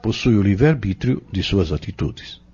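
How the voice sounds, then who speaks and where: Husky, deep-voiced Brazilian teacher played by a man. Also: lively intonation, a little mellow, exaggerated voice. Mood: earnest, instructive. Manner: didactic, rhetorical questioning, measured phrasing. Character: earnest male Spiritist teacher explaining doctrine to youths. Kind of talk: dramatized audio novel dialogue, didactic. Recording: dull lo-fi audio.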